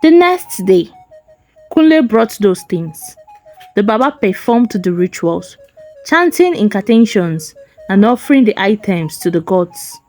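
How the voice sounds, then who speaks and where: A woman's voice: medium pitch, big pitch swings, measured pace, darkish tone, low-quality recording. A woman narrating a folktale as a storyteller, in a dramatic scripted style. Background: faint music.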